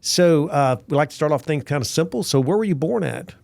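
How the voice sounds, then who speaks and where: A man's voice: fairly high pitch, lively intonation, brisk, gravelly, a little mellow, no room sound. An older man hosting a podcast interview, chatting casually and drifting into stories about his grandkids. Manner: chatty, wanders into family stories. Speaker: podcast host. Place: Virginia.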